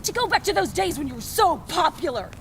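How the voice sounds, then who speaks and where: An actress playing a bitter, jealous, volatile kidnapper in an audio drama, with a snappish, aggrieved, commanding way of talking. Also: female voice, very high-pitched, very animated, fast talker, gravelly, a bit bright, full character voice. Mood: bitter fury. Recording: noisy ambience behind the voice.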